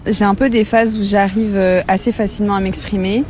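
Young woman, relaxed and reflective. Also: mid-range voice, brisk. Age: young adult. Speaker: interviewee. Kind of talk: casual interview answers.

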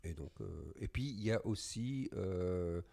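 A man in a writing collective, talking in a round-table discussion in a wry, halting way with gentle self-mockery. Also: low voice, expressive, creaky.

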